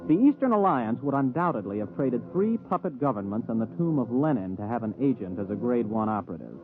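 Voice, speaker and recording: A man's voice: medium pitch, very animated, fast, music in the background, lightly acted. Man as earnest ex-pilot, thin vintage radio sound. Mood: matter-of-fact, confiding. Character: earnest young ex-rocket pilot turned government computer operator. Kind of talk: 1950s radio drama, first-person narration and dialogue. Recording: thin phone-like old radio recording.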